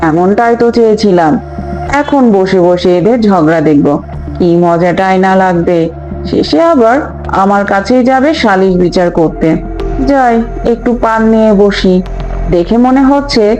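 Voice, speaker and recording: A woman's voice: medium pitch, normal speed, darkish tone, lightly acted, poor audio. A woman voicing a greedy, bossy older mother-in-law in a fairy-tale cartoon. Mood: sly gloating. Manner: bossy, scolding, gloating. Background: background music.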